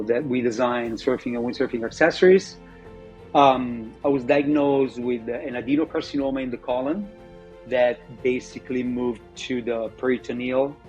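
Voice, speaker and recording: A man, telling his own story in an interview, reflective and halting with many fillers and restarts. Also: mid-range voice, a little mellow, muffled recording. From Italy. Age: 50s. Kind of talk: personal testimony interview.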